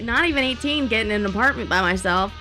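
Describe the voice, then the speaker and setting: Laughing, swearing young woman, bright voice. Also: fairly high pitch, fast, soft background music. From New Orleans, US. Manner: laughing, swearing freely, animated storytelling. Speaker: podcast guest, singer-songwriter. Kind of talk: casual chat.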